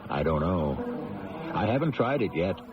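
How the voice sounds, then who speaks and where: Wry musing radio narrator. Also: male voice, low-pitched, big pitch swings, normal speed. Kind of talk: scripted closing narration. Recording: noisy lo-fi old radio recording.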